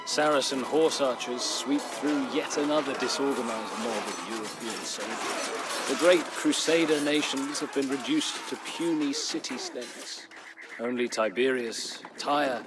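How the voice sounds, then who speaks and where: An actor playing a weary, middle-aged former crusader knight who narrates a game's war chronicle in a solemn, measured, dramatic storytelling manner. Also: male voice, medium pitch, background noise, close to an everyday voice. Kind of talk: dramatic scripted first-person narration. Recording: music under the voice. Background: music.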